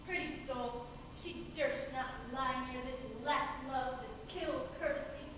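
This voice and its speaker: A woman playing a sly, mischievous sprite servant, speaking verse in a hushed, measured way on a stage, recorded from far off in an echoey hall. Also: very high-pitched, expressive, background noise. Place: theatre stage.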